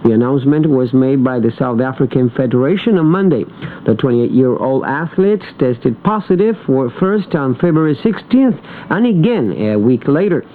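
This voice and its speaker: A man's voice: mid-range voice, lively intonation, moderate pace. A man presenting scripted sports news on a radio broadcast, reading as a newscaster. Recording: tinny, band-limited shortwave radio broadcast. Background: shortwave radio reception.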